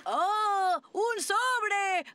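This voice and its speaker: Eager, high-voiced little boy. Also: drawn-out words, full character voice. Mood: excited. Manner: hesitant, exclaiming, childlike wonder. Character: sweet-toothed eager naive little boy at birthday party. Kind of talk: cartoon dubbing. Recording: music under the voice.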